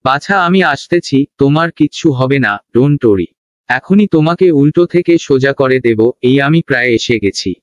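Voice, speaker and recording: A man's voice: medium pitch, animated, normal speed, dull audio, faint music behind, lightly acted. A man voicing a boastful, bumbling animal sports coach in a children's cartoon, with a bossy instructing manner. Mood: reassuring.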